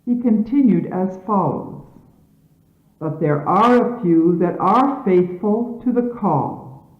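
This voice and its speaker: A woman reading scripture as a solemn sermon in measured, emphatic, formal diction, on an archival recording from the 1970s. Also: medium pitch, expressive. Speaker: religious leader reading scripture aloud. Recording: phone-like, echoey 1970s archival tape.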